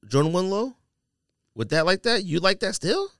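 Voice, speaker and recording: A man's voice: high voice, sing-song, moderate pace, a bit bright, dry recording, studio quality. A man co-hosting a casual podcast, talking in slangy, emphatic bursts and repeating phrases to drive a point home. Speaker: podcast host. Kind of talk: casual sneaker podcast banter.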